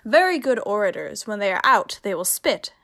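A woman's voice: medium pitch, big pitch swings, normal speed, a bit bright. A woman playing a witty, commanding young heroine in a Shakespeare radio comedy, teasing and mock-stern. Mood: playful, teasing. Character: witty, commanding young woman, playfully testing her suitor.

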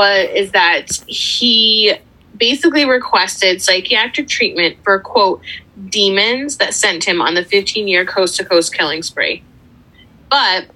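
A woman co-hosting a true crime podcast in a quick, joking, teasing way. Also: mid-range voice, sharp tone, breathy edge, rough recording. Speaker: podcast co-host. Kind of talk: casual true crime chat.

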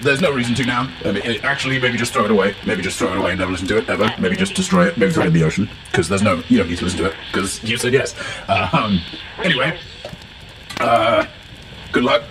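A man's voice: lowish voice, quick pace, background noise, natural voice. A man voicing a pompous, bumbling professor who rambles in flowery speech with blunt asides, in a comic fantasy game. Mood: flustered. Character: pompous, bumbling, long-titled male professor of adventure lore. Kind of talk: comic in-character monologue as a recorded message.

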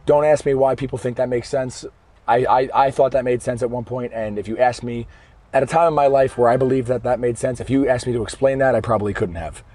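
A hoarse man hosting a fitness podcast from his car in traffic, explaining things casually and often correcting himself mid-sentence. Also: medium pitch, steady tone, fairly quick, a little mellow. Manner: conversational, explaining step by step with frequent self-corrections. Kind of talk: casual solo podcast monologue. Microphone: podcast microphone.

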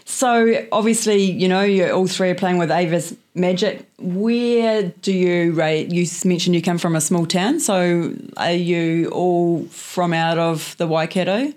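A woman hosts a relaxed interview with a lowish voice. She keeps things moving with quick, encouraging affirmations. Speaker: podcast host. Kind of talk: interview hosting.

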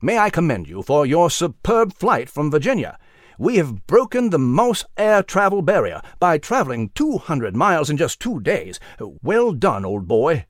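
A man plays a genteel mouse who speaks in courtly, formal phrases in a storybook. His voice rises and falls in wide sweeps and sits a little high. The sound is close and dry. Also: huge pitch swings, normal speed, no room sound. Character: genteel old male mouse, proper and kindly.

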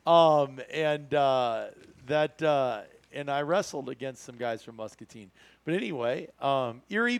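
American male podcast host, middle-aged, slightly high voice, folksy and joking, casual delivery. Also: no room sound. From Iowa, United States. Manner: chatty, joking, folksy exclamations.

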